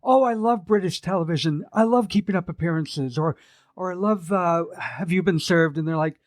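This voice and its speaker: Male podcast co-host, everyman; wry, relaxed, a touch high, dry studio sound. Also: normal speed, no room sound. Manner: wry, self-deprecating, relaxed storytelling. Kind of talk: casual podcast chat.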